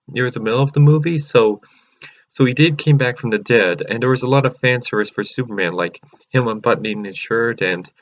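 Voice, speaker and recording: A man hosting his own channel, chatting excitedly and rambling through a casual movie review with many fillers and restarts. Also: mid-range voice, dull audio. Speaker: channel host.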